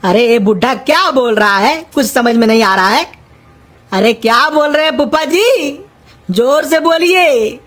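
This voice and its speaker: Young male cartoon simpleton, played by a woman: high, querulous, naive. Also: expressive, unhurried, exaggerated voice. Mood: whiny complaining. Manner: whiny, naive, loudly querulous. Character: silly, half-deaf, complaining young male village helper. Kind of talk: cartoon comedy dialogue in rustic Hindi.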